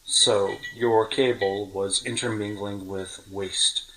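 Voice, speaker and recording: A man's voice: on the low side, fairly even intonation, slow, a crowd in the background, low-quality recording. A middle-aged man hosting a podcast, chatting casually and reminiscing in a talkative way, often rephrasing himself. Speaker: podcast host.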